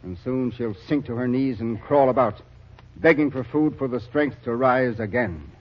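An actor playing a hardened, blunt army captain working famine relief, grim and weary, in a 1940s radio drama. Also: male voice, mid-range voice, normal speed, lightly acted. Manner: blunt, grimly weary, callous. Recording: dull old radio recording.

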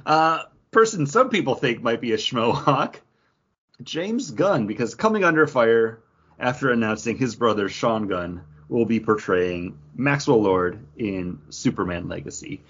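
A man's voice: mid-range voice, lively intonation, measured pace, fairly bright tone, husky, lo-fi sound. A man hosting a podcast, chatting casually and jokingly about pop culture. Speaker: podcast host.

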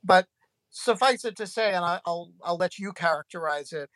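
Halting man hosting a podcast. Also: sits high, fairly bright tone, no echo. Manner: halting, many fillers and restarts. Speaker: podcast host. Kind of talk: podcast interview.

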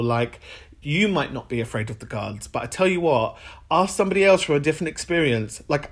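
A man with an English-sounding accent, raised in Ireland, speaks at an ordinary middle pitch. He talks earnestly and thoughtfully as a podcast host. With callers he turns lively and playful. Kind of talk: reflective solo monologue.

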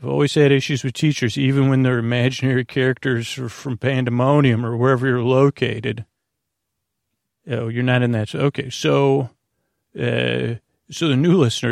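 A man with a creaky voice, improvising a meandering, halting bedtime podcast monologue full of asides and self-interruptions. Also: medium pitch, fairly even intonation, no echo, studio quality. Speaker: podcast host.

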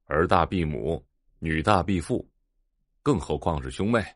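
A stern eldest brother, a humorless businessman in an audio drama. His very deep voice is curt and reproving, in a dry, close recording. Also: expressive, unhurried, no echo. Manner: curt, admonishing, authoritative. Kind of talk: Chinese audio drama acting.

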